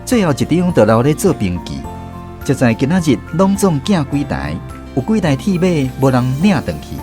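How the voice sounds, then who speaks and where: Lively Taiwanese storyteller over music. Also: male voice, a little high, moderate pace. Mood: reminiscing. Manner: explanatory, conversational storytelling. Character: reflective male storyteller recalling his youth. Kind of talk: scripted first-person radio drama narration.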